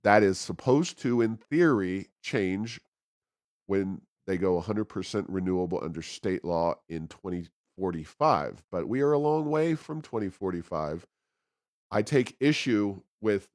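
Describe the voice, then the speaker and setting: A man with a deep voice hosts a policy discussion. He phrases things deliberately and haltingly, pausing often as he works through his points. The sound is dry, with very little room in it. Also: a little mellow, no room sound. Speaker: podcast host. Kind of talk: political policy commentary in conversation.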